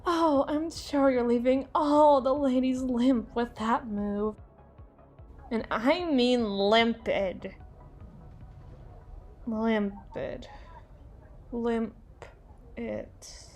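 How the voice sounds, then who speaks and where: Woman acting tipsy haughty boss lady, slow and theatrical. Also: a little high, fairly even intonation, a little mellow, faint music behind. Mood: teasing, boozy. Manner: theatrical, drawn-out, playful wordplay, slipping into breathy whispers. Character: tipsy, haughty, melodramatic female boss flirting with her employee. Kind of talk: ASMR roleplay voice acting.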